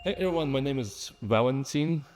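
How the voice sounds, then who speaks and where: A man's voice: medium pitch, animated, brisk, loud music behind the voice. A man with a Belarusian accent giving an informal, chatty conference talk, joking and engaging his audience. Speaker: conference lightning talk speaker. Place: conference hall stage. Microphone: stage microphone. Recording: long hall reverb on stage sound.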